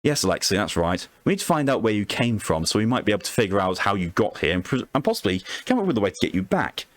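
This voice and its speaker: A man's voice: lowish voice, sing-song, fast talker, dry recording. A man reading the part of a polite, patient psychologist questioning a prisoner in a tentative, conciliatory way. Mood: calm, coaxing.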